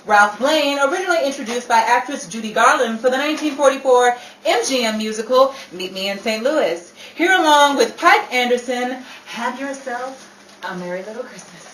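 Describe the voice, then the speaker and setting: Woman acting high-voiced flustered 1950s radio comedienne, echoey. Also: animated, fairly bright tone. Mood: announcing. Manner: halting, repeats words in disbelief. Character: flustered, teasing 1950s Hollywood woman called Betty. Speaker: parade announcer. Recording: echoey, distant, noisy crowd recording.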